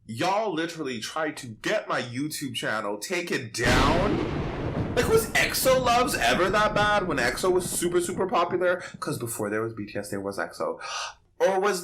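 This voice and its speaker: A man's voice: a little high, a bit bright. A man hosting a podcast in casual, opinionated chat, sassy and slangy with emphatic delivery. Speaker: podcast host.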